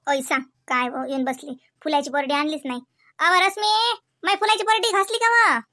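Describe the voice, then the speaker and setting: A woman's voice: sits high, fairly even intonation, normal speed, noisy background, close to an everyday voice. A woman voicing a chatty, devout, thrifty older village aunt in a comedy cartoon, rambling and coaxing in colloquial Marathi with a Varhadi accent from Vidarbha. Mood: grumbling, fussy.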